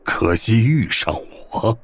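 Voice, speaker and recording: A male actor playing a gruff, mocking old martial master in a Chinese wuxia audio drama, with drawn-out scolding delivery. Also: fairly low pitch, sing-song, no echo, heavily acted. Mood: scornful.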